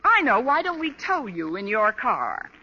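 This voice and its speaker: Old radio comedy, very noisy and dull: sardonic schoolteacher, high-pitched, lovelorn, dry asides. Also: female voice, big pitch swings, normal speed. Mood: sarcastic. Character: wry adult female schoolteacher, sarcastic and lovelorn. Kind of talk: 1940s radio comedy acting.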